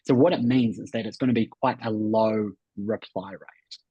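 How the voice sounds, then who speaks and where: A man's voice: on the low side, steady tone, normal speed, warm tone, slightly rough. A man with a New Zealand accent hosting a coaching webinar, explaining emphatically and repeating key points with frequent check-ins. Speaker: host and business coach. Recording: muffled, roomy webinar audio.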